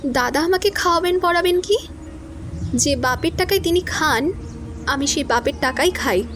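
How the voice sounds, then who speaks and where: An actress playing a young widow who is tired and halting at first, then firm and resentful. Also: female voice, high-pitched, fairly bright tone, a little hiss or hum. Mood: defiant. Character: young widow, meek but defiant when pushed. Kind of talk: Bengali audio drama acting.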